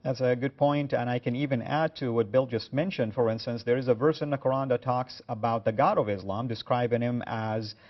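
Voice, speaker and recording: A male talk show host in a mid-pitched voice, often pausing on filler sounds as he works through his points. The recording sounds somewhat dull and lo-fi. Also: fairly even intonation. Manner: hesitant, many "uh" fillers. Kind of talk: studio talk show discussion.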